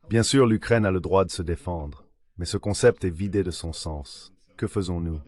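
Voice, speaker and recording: A man's synthetic AI voice giving a translated political analysis in a measured, formal read-out manner. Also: low voice, darkish tone. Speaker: translation voice-over of an interview guest.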